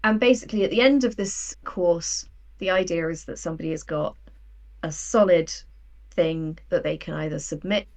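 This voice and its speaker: Creaky, low-pitched woman. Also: normal speed, darkish tone. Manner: enthusiastic, explanatory. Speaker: guest, audio drama writer and producer. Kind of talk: conversational interview.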